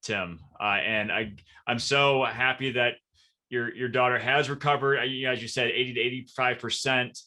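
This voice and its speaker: A man with a bright, forward voice at a middling pitch hosts a podcast interview. He talks conversationally, with an earnest and encouraging manner. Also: fairly even intonation, normal speed. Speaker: podcast host.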